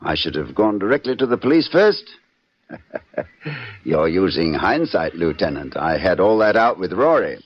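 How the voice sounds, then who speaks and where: A man's voice: sits low, sing-song, unhurried, slightly rough, full character voice. An actor playing a jittery, unraveling man in an old radio suspense drama, with intense, clipped theatrical delivery. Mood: bitter, confessional. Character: jittery, unraveling man confessing to a police lieutenant. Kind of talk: 1940s-50s radio suspense acting. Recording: dull old radio recording, faint music.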